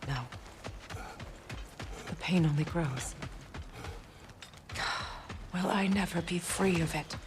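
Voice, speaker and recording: Solemn wounded goddess, noisy ambience. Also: female voice, fairly low pitch, takes it slowly, pauses often. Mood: pained, anxious. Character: proud, wounded goddess confronting her painful past marriage. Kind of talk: cinematic video game acting.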